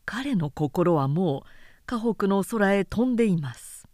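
A woman narrating a historical novel as a scripted recitation, measured and dramatic in delivery. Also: medium pitch, lively intonation, a little mellow, no room sound, clean studio sound.

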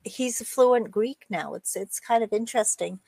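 A woman's voice: on the high side, measured pace, gravelly, dark tone, husky, no echo. A middle-aged woman, Estonian by first language, chatting casually with a friend, laughing often and restarting her sentences. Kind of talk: casual chat.

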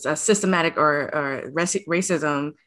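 A woman with a Detroit accent hosting a casual podcast chat. She talks in a lively, frank way, swears freely, and sits a bit low in pitch for a woman. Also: normal speed. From Detroit, USA. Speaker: podcast host.

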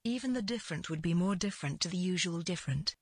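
Husky low-voiced woman narrating fiction. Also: lively intonation, quick pace, no echo, clean studio sound. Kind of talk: scripted literary fiction narration.

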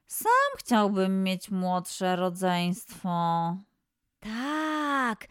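A woman voicing a gentle young animal friend in a children's story, good-natured, with drawn-out words. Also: mid-range voice, clean tone, no room sound, studio quality.

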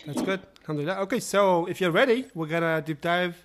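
A man hosting his podcast, welcoming a guest with loose, informal phrasing and quick questions. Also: sits high, a little hiss or hum. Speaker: podcast host. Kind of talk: casual interview hosting.

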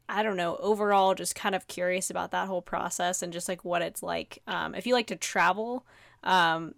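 A woman's voice: lowish voice, vocal fry, a bit bright, dry recording, studio quality. A woman interviewing on a casual podcast in an informal, chatty, encouraging way.

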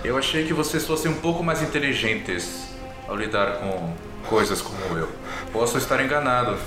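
A man voicing a calm, formal, condescending male vampire in a tabletop game. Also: mid-range voice, normal speed, lightly acted. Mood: irritated. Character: calm, irritated, imperious male vampire with European accent. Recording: roomy echo, music underneath.

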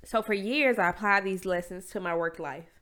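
A woman's voice: mid-range voice, moderate pace, fairly bright tone. Congested woman hosting a candid podcast. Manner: candid, emphatic, motivational. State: congested, stuffy nose. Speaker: podcast host. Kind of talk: solo podcast monologue.

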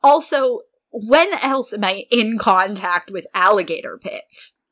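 Woman, bright voice, lively swooping intonation, casual co-host banter, self-deprecating. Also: mid-range voice, a little slow. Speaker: podcast co-host.

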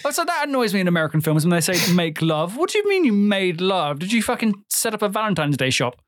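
A man's voice: sits high, sing-song, fast, no room sound, studio quality. A young man co-hosting a casual podcast, cracking crude jokes and swearing freely. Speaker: podcast co-host. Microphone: headphones and microphone.

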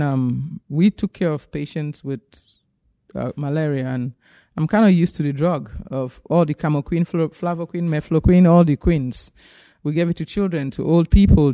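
A man's voice: fairly high pitch, mellow, no echo, clean studio sound. A man from Cameroon, a physician guest, giving earnest, emphatic testimony in an interview.

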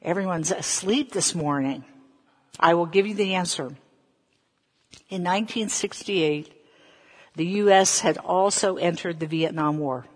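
An American woman in her fifties from the South, a preacher telling personal stories in a deliberate manner and putting questions to her listeners. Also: on the low side. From Southern United States. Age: 50s. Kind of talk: sermon with personal storytelling. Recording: echoey hall with long reverb.

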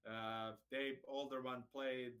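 A man's voice: mid-range voice, little pitch movement, slow pace, a bit bright. A man in his forties from Serbia with a Serbian accent, a guest chatting about his career in a friendly, matter-of-fact way. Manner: friendly, matter-of-fact storytelling with frequent restarts. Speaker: guest, racquet sports director. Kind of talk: casual interview chat.

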